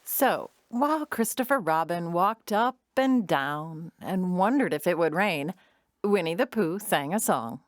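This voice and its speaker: A woman narrating a children's storybook audio drama in an expressive storytelling manner. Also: on the low side, unhurried, dry recording, clean studio sound.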